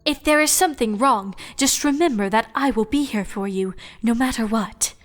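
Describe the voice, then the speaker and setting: A woman voicing a gentle, caring night princess pony with formal, old-fashioned phrasing in a storybook reading. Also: a little high, normal speed, big-room sound, close to an everyday voice.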